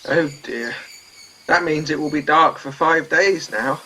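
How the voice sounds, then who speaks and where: A man playing a timid, anxious, gentle crewman in a comic radio play. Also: a little high, measured pace, a little hiss or hum, low-quality recording.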